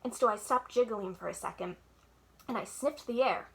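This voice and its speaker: A woman reads a children's book in a fairly high voice. Her narration is expressive and dramatic, phrased like a child telling her own story. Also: moderate pace, vocal fry, poor audio. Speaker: library storytime reader.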